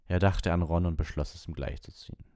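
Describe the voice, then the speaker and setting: A man's voice: sits low, steady tone, brisk, mellow, dry recording, studio quality. A man reading fiction aloud as an audiobook narrator. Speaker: audiobook reader.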